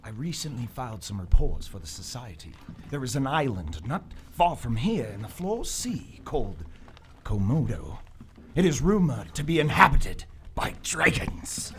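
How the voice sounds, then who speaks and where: A man plays a proper gentleman explorer, a loyal and excitable companion whose pitch swings widely. The performance is live radio theater, with clear noise from the stage recording. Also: moderate pace, natural voice. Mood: earnest.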